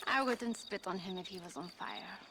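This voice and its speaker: A woman's voice: mid-range voice, moderate pace, sharp tone, a little hiss or hum, natural voice. An actress playing a tough, unimpressed frontier woman in a game cutscene, mocking and scornful. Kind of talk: video game cutscene acting.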